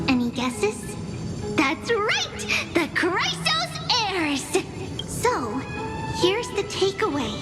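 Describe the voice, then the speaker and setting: Squeaky, very high-voiced little sprite. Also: female voice, exaggerated voice. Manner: playful schoolteacher storytelling, simple childish wording. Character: tiny, childlike, bossy, cheerful bath sprite. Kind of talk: animated children's-story narration in a game. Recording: loud music under the voice. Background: loud music.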